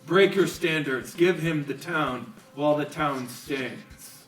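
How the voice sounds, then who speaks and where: Man acting as despairing citizen, slow oratory, emphatic short phrases, roomy echo, verse audio play. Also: a little high, recorded from a distance. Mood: despairing, fervent. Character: despairing male citizen urging surrender. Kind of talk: declamatory verse radio play. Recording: echoey room, off mic, music.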